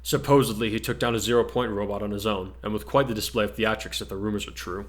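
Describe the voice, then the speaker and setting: A teenage boy with a raven's head speaks in a low, measured tone, as acted out by a male narrator. He is a quiet, composed character in a fan story. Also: fairly even intonation, lightly acted. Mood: low, curious.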